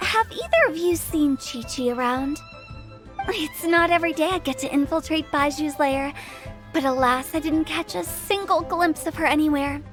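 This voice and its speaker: A woman's voice: high voice, moderate pace, lightly acted. An actress playing a playful, mischievous young woman who runs a funeral parlor, speaking in a breezy, teasing way in video game dialogue. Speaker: game character. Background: game music.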